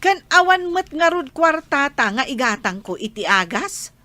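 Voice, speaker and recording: Woman playing a sharp, high-voiced nagging wife. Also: sing-song, normal speed, dry recording, full character voice. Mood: bitter sarcasm. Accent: Ilocano. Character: nagging, fed-up wife short of rent money. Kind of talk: Ilocano radio drama acting.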